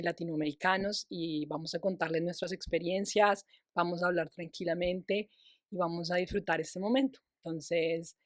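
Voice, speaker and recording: A woman's voice: on the low side, no echo, clean studio sound. A young adult Colombian woman hosting a casual podcast interview, encouraging her guest and often restarting her phrases. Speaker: podcast host.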